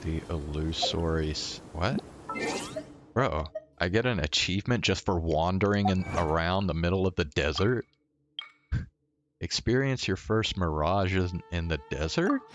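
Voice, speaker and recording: A man's voice: low voice, a little slow, noisy background. A man playing a video game and commentating casually, muttering slangy, exasperated remarks to himself. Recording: game music behind the voice.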